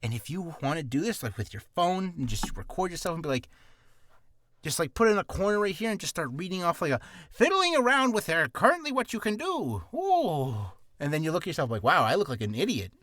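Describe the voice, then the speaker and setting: A man talks through beginner voice-acting tips in a loose, rambling way, nervous and quick to poke fun at himself. His pitch sits a bit high and swings widely as he talks. The sound is close and dry, recorded in a small, cramped room. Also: wildly swooping pitch, fairly bright tone, dry recording. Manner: nervous, rambling, self-deprecating. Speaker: voice actor giving beginner advice. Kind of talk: casual rambling advice vlog. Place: small hot confined room.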